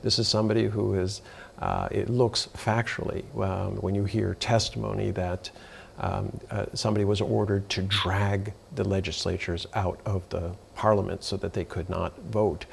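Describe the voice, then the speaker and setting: A man with a heavily gravelly, low voice gives frank commentary on a news panel. He talks steadily, scattering fillers between his phrases. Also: little pitch movement, normal speed, a little hiss or hum.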